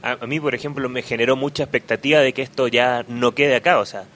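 A man from Chile speaking as a guest in a radio discussion, eager but halting, restarting words. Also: medium pitch, fairly even intonation, fairly bright tone, slight background noise.

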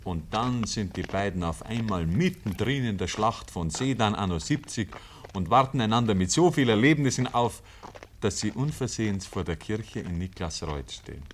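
A man with a Bavarian accent narrating a 1950s radio play in measured, rustic storytelling. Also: on the low side, animated, close to an everyday voice. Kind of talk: scripted literary radio narration. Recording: noisy old 1950s radio recording.

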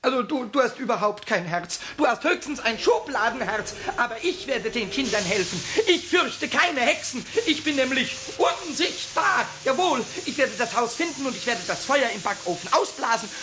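A cheeky little goblin squeaks in a very high voice and stammers when excited. The old radio play recording carries a clear hiss behind him. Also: male voice, moderate pace, few pauses. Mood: agitated. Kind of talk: 1960s radio play acting.

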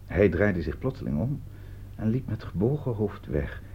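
A genteel, confident gentleman, deep and deliberate, with a formal manner and varied intonation. The radio play recording is muffled with faint noise. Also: sing-song, husky, exaggerated voice. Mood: measured recounting. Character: genteel uncle, composed, confident male schemer. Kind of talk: radio play acting in Dutch.

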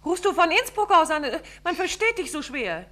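An actress playing a detective's wife in a 1950s radio play, worried and probing, with a quick, searching manner. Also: female voice, very high voice, big pitch swings, fairly bright tone, slight background noise, exaggerated voice. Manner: anxious, probing.

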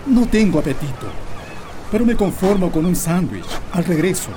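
Radio play: dismissive, restless Chilean husband acted by a man, expressive pitch swings, loud background noise. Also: moderate pace. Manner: brusque, dismissive. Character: curt, dismissive husband Don Manuel, unbothered and restless. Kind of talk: Spanish-language radio drama acting. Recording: noisy outdoor ambience with bird calls.

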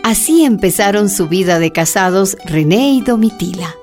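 Una mujer con acento boliviano narra con gravedad una radionovela sobre la lucha minera. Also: female voice, mid-range voice, lively intonation, moderate pace, over music, natural voice. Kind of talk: scripted narration of a radio drama.

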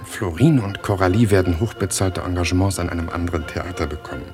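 A very deep-voiced, worldly Parisian journalist , acted by a man in a radio play. He is glib, cynical and persuasive, a mocking schemer, with music playing clearly behind him. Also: steady tone, fast, natural voice. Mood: cynical, brisk. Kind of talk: German radio play acting.

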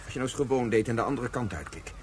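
Actor as fast-talking, stammering, earnest man. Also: mid-range voice, expressive, a little hiss or hum, lightly acted. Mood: hesitant, awkward. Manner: stammering, restarting, self-correcting. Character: flustered, indignant man, hesitant and earnest traveller. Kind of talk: radio drama acting.

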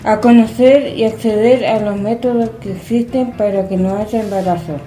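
A woman's voice: medium pitch, fairly even intonation, moderate pace, mellow. A woman reading a scripted announcement aloud. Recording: roomy echo under prominent music.

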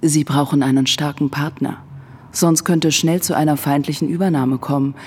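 A woman's voice: sits low, steady tone, moderate pace, a little mellow, husky, in a large hall. A woman playing an assured, predatory businesswoman in her forties in a radio drama, poised and coolly pressuring. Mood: cool pressure. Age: middle-aged. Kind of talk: German radio drama acting.

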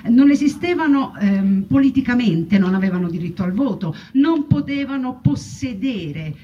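Female monologue performer, soft dark tone, theatrical and ironic, rhetorical delivery; dull recording with faint background noise. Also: fairly high pitch, lively intonation, moderate pace. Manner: emphatic, rhetorical repetition with wry irony. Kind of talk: theatrical narrative monologue on wartime history. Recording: muffled, distant mic with background noise.

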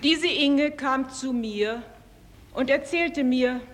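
A woman testifies slowly and deliberately as a witness. Her voice rings in the long reverb of a large courtroom, with slight noise on the old recording. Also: high voice, a bit bright. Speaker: trial witness.

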